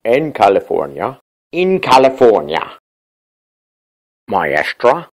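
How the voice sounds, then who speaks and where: Larry, a woman doing a deep-voiced schoolboy. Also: very animated, drawn-out words, darkish tone, full character voice. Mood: friendly. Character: schoolboy student Larry, young and friendly.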